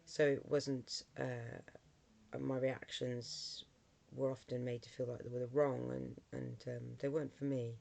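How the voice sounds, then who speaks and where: A middle-aged vlogger with a hoarse voice from a cold, talking casually to camera with many ums and restarts. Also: mid-range voice, flat delivery, unhurried, darkish tone.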